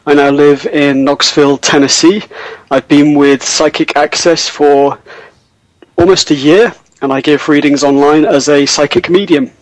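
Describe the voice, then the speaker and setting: A man with a British accent co-hosting a talk radio show, polite and measured. His voice sits a little high for a man. The sound is close and dry. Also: steady tone, no echo, poor audio. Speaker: co-host, psychic medium.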